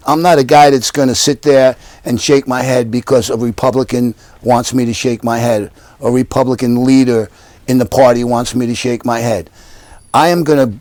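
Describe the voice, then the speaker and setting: A man's voice: medium pitch, a little mellow, no echo. An older man from Yonkers, a council candidate, answering interview questions at home in an earnest, repetitive campaign manner. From Yonkers, New York. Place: living room at home.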